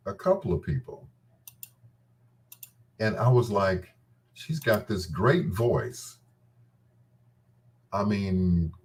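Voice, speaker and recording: Slow-talking man with a lowish voice. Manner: emphatic, repeats phrases. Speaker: host of a bedtime talk show. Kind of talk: casual unscripted monologue about music.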